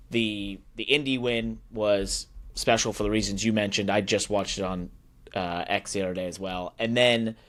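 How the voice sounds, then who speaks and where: A man with a fairly low voice co-hosts a motorsports show. His delivery is casual and conversational, in the style of sports talk between colleagues. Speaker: motorsports podcast co-host.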